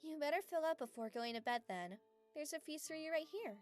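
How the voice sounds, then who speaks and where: A woman plays an earnest young fantasy heroine from an anime. Her voice is on the high side, with a gentle, slightly hesitant, explanatory delivery. Faint music plays behind her. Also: expressive, brisk. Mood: mild reproach. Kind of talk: anime fan dub acting.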